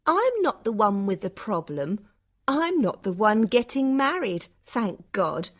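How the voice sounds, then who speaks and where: An actress plays a cynical young woman in a dramatised story. She is cutting and easily irritated, drawing out her lines at a slow pace, with pitch that rises and dips widely. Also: sing-song. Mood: scornful, irritated. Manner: sarcastic, cutting. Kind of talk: acted audiobook dialogue.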